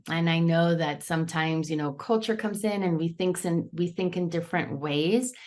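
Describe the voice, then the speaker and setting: A woman from Brazil speaks with a low-pitched voice as a coach and author giving a webinar over a video call. She talks in a chatty, loose way, full of fillers and playful asides. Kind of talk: webinar presentation.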